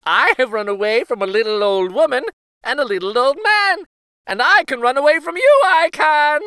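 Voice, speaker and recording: A man doing a squeaky, cocky gingerbread boy. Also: expressive, moderate pace, bright, forceful tone, smooth, no echo, heavily acted. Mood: gleeful taunting. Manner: boastful sing-song taunting, gleeful cackling. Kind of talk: storybook read-aloud.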